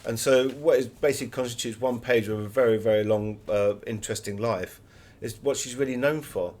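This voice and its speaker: A British man with a mid-pitched voice talks as an author being interviewed. He spins anecdotes in a chatty, wry style, leaning on words for emphasis. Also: a little fast, a little mellow.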